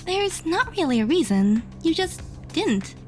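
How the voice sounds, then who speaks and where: A high, animated young woman, voiced by an actress, speaks with earnest hope and care. She is sincere and gently insistent, in the manner of audio drama dialogue, over faint music. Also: measured pace, heavily acted.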